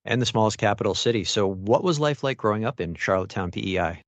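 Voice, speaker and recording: A man hosting an interview podcast in a clear, presenter-like manner. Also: on the low side, animated, quick pace, no room sound. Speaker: podcast host. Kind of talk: scripted podcast introduction and interview questions.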